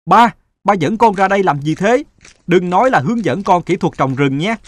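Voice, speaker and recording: A man's voice: high-pitched, animated, moderate pace, no room sound. A man voicing an earnest, self-doubting young adult son in a moral-story cartoon. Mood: puzzled. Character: earnest thirty-year-old son, restless and self-doubting.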